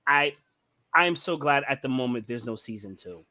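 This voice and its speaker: A man co-hosting a casual fan podcast, giving opinionated, animated rants with acted-out quotes. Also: mid-range voice, moderate pace. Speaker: podcast co-host. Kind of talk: casual chat.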